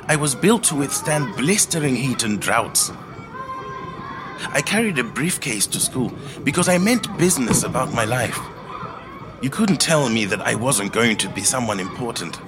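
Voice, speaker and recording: An actor playing a reflective middle-aged Zimbabwean man telling his life story in a measured, earnest monologue. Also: mid-range voice. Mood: proud, pointed. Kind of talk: scripted autobiographical monologue, storytelling.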